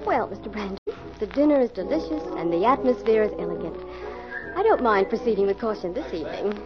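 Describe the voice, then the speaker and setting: A woman playing a detective's curious young sidekick in 1940s radio style, eager, expressive and questioning. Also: medium pitch, fast talker, natural voice. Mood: pleasant, playful. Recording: muffled old radio recording, music behind.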